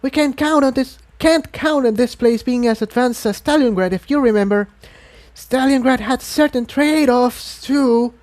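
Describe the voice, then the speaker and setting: A man voicing a wary, worrying female pony in a storybook, in a hushed, grumbling, hissing manner. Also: extremely high pitch, moderate pace, voice pushed up.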